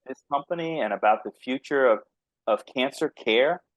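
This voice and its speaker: Brief: man from Texas, easygoing guest, laughs a lot, mid-pitched, dry close sound. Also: moderate pace, dry recording, rough recording. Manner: laughs often, easygoing and earnest. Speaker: biotech company founder, guest. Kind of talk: conversational interview answers.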